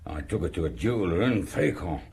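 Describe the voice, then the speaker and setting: A man's voice: low voice, expressive, fairly quick, darkish tone. An actor playing a worried village father in a radio drama, hesitant and cautious, stumbling over his words. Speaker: murdered maid's father.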